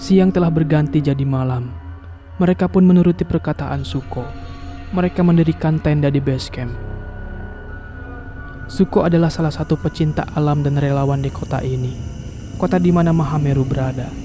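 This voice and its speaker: Higher-pitched male horror narrator over music. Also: moderate pace, long pauses, mellow. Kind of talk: scripted horror story narration.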